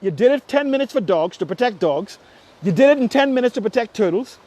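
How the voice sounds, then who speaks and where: A man with a Bahamian accent speaks in a notably high voice for a male. He comes across as an impassioned political commentator. His delivery is emphatic, leaning on repetition and pointed rhetorical questions to press each point. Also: expressive, fast, darkish tone.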